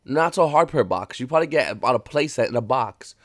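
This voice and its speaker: A man of middle pitch keeps his voice lowered as he talks at home, in a dry, close sound with little room in it. His casual commentary is blunt and opinionated, with an occasional swear. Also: expressive, a little fast, no echo. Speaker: card market commentator. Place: home, early morning.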